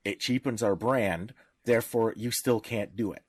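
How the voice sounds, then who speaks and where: A man's voice: on the low side, normal speed, husky, dry recording, studio quality. A man speaking as a podcast panelist in informal discussion, explaining in a didactic way with examples.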